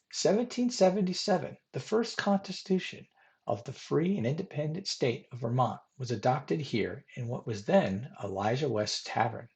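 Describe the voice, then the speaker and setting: A man's voice: mid-range voice, creaky, darkish tone. A man reads a scripted history presentation in a formal manner, as a presenter narrating a biography.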